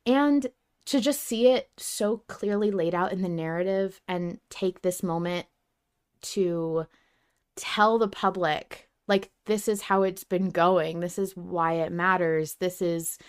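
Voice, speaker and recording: A woman's voice: lowish voice, slow, clean tone. A woman hosting a podcast, talking casually and earnestly as a fan, open about her feelings. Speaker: podcast host.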